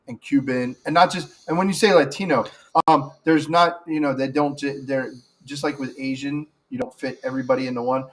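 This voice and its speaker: A male co-host talking casually about politics. He rambles and restarts often, at an ordinary mid pitch. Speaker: podcast co-host.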